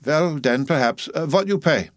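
A man's voice: fairly high pitch, animated, moderate pace, dry recording, pitched above the performer's own voice, close to an everyday voice. A storybook midwife, huge, brusque and out for money, acted by a male reader in a raised voice. She drives a hard bargain in clumsy English, blunt and unyielding, in a close, dry recording. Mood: shrewd, demanding. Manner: blunt, haggling, broken English. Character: hard-bargaining, enormously fat Dutch midwife woman, brusque and mercenary.

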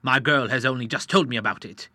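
Brief: calm fatherly doctor, male narrator's own voice, bright and dry. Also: medium pitch, fast, dry recording. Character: kindly, steady middle-aged male doctor.

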